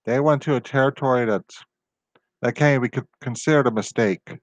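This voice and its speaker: A man's voice: mid-range voice, fairly quick, no echo. A man hosting his own channel, talking through rambling tangents with exasperated complaints. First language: not Japanese. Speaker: video host. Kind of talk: casual solo commentary.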